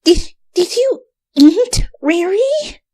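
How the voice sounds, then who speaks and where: A woman's voice: very high voice, very animated, drawn-out words, dark tone, no room sound, clean studio sound, pitched above the performer's own voice, exaggerated voice. A woman voicing an earnest, bossy young female pony scholar in a story reading, articulate and scolding. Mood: shocked, distressed.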